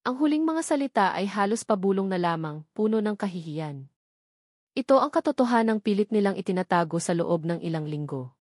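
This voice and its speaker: A woman narrating a scripted drama in a measured, dramatic way. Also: medium pitch, sing-song, darkish tone, no echo, clean studio sound.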